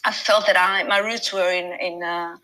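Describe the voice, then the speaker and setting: Bright-voiced woman in her fifties. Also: on the low side, steady tone, moderate pace, poor audio. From Italy. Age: 50s. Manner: effusive and reflective. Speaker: holistic health coach. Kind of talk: casual interview chat.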